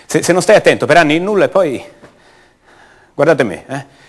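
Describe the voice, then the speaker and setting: An actor playing an ordinary, self-doubting man back home, speaking a halting, conversational monologue in a radio drama. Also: on the high side, very animated. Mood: questioning, uneasy. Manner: halting, conversational, rhetorical questions to the listener.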